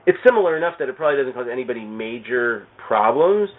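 A man chatting casually about comics in a halting way, repeating words and restarting his sentences. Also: medium pitch, lively intonation. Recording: thin, band-limited phone-like radio sound.

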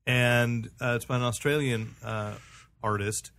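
Podcast host: American man, middle-aged, mid-pitched, conversational, halting delivery. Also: steady tone, a bit bright. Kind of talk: casual comics review chat.

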